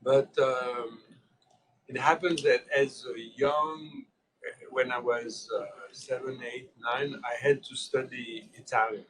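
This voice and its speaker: A man with a French accent, native French speaker from Switzerland, giving a thoughtful, halting talk as guest lecturer in an informal discussion. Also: medium pitch, steady tone, fairly bright tone, a little hiss or hum. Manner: thoughtful, halting, many restarts. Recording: distant mic.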